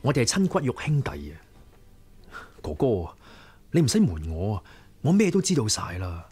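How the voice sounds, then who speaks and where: A man's voice: fairly low pitch, wildly swooping pitch, moderate pace, dark tone, slightly rough, faint music behind, exaggerated voice. A man acting a young, righteous martial rebel leader in a Cantonese radio drama, earnest and persuasive, with formal declamatory speech. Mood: earnest, pressing.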